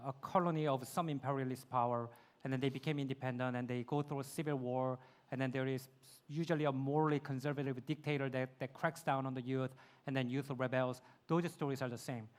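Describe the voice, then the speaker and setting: Thoughtful man explaining at a forum. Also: medium pitch. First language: Korean. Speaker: conference speaker. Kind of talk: answering questions on stage.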